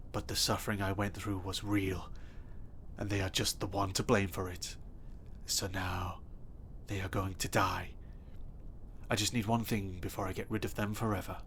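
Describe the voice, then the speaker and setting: A man playing an obsessive, possessive stalker in an audio roleplay, speaking softly and intimately with a threatening edge. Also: lowish voice, slight background noise. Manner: soft, intimate and menacing, bursting into threats. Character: obsessive, possessive male stalker kidnapper, adult.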